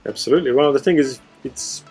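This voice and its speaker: A man's voice: medium pitch, fast. Chatty man hosting, faint music behind. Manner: relaxed, chatty, frequent "you know" and "right?". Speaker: host, property mentor. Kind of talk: casual interview chat.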